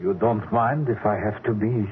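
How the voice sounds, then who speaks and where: An actor playing an anxious scientist who is secretly in love, in a 1970s radio drama. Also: male voice, on the low side, normal speed, slight background noise, lightly acted. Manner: wavering, doubtful, pleading. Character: anxious middle-aged male scientist, a secret lover. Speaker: scientist character. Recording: narrow, phone-like old radio broadcast.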